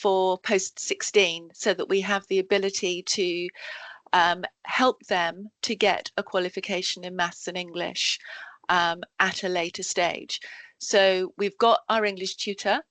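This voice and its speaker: A woman presenting to colleagues in a work meeting, halting with frequent ums and self-corrections, heard over a video call. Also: on the low side, steady tone, fairly bright tone, no echo. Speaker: education support officer presenting to colleagues.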